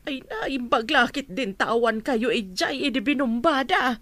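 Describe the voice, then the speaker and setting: A tender, anxious mother in a radio drama. Her voice is high, with an Ilocano accent and a plaintive, fretful manner full of care. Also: normal speed, a bit bright. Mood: worried, relieved. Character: worried, tender mother of grown children abroad. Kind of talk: Filipino radio drama acting.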